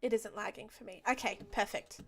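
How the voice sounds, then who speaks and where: A woman's voice: medium pitch, fairly even intonation, a little fast, fairly bright tone, slightly rough. A young woman chatting casually while she streams a game, with lots of ums and easy laughs. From Australia. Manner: chatty, many ums and restarts, laughs easily. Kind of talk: casual live-stream chat while playing.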